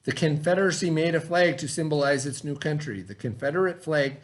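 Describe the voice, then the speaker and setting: A man's voice: fairly high pitch, a little fast. Man teaching with a read-aloud lesson. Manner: explanatory, checks understanding with simple questions. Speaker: teacher reading aloud to a class.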